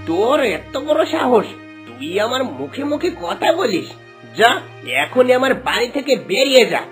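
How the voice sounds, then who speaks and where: A woman's voice: a little high, sing-song, normal speed. A woman voicing a greedy, cruel village husband in a cartoon, scolding and domineering in exclamatory bursts. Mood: angry, threatening. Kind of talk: melodramatic cartoon acting. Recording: music bed under voice.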